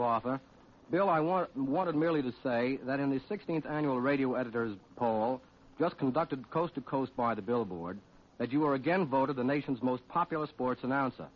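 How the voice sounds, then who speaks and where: A man's voice: medium pitch, animated, moderate pace. A man, a guest award presenter, giving a formal scripted speech in 1940s radio manner. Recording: muffled 1940s radio broadcast.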